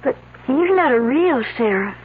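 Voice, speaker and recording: A frightened young female student stammers and hesitates in a high, thin voice. Her words come haltingly. It is heard through a narrow, phone-like old radio recording. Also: animated, breathy edge. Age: young adult. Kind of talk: 1970s radio drama acting.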